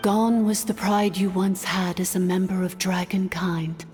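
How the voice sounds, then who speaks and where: An ancient machine ruler acted by a woman speaks low and mellow in a long, cavernous reverb. The manner is formal, imperious and calm, solemn game dialogue with music underneath. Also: natural voice. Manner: formal, measured, stately. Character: calm, imperious female ancient dragonkind artificial intelligence sovereign.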